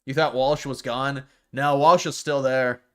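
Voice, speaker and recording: A man's voice: mid-range voice, fairly even intonation, a little slow, smooth. A man in the middle of a loose, casual live stream, talking over the clips he plays. He stumbles often, restarting his sentences and repeating words before he finds the point.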